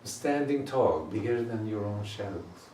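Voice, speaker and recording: Man, guided meditation, very slow, drawn-out chanting, distant mic, echoey room. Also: on the low side, animated, dark tone, a little hiss or hum.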